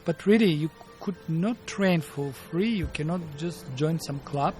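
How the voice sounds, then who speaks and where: A man in his fifties with a Bulgarian accent and a fairly high voice. He tells stories in an interview, stopping often to find the English words. Faint music plays behind him. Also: dark tone. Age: 50s. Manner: halting, searching for words in English. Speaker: martial arts teacher, guest. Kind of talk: interview storytelling.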